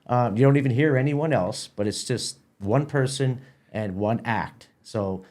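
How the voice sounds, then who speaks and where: Male podcast guest, mid-range voice, halting and repetitive, casual organizer explaining things. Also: medium pitch, a little mellow. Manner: halting, repeats words. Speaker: guest, theatre festival organizer.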